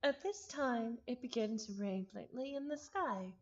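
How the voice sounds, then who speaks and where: A woman streamer reading a visual novel aloud, expressive and given to breaking off into exasperated, chatty commentary. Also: fairly high pitch, a little slow, highs cut off. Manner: expressive, breaks off narration to exclaim and repeat words in exasperation.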